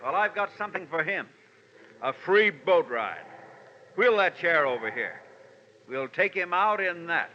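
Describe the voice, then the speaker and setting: An actor playing a brutal, bullying American criminal husband in a vintage radio crime drama, gruff and browbeating. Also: male voice, on the high side, expressive, unhurried, long pauses, lo-fi sound. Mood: angry, bullying. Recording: noisy, band-limited vintage radio recording.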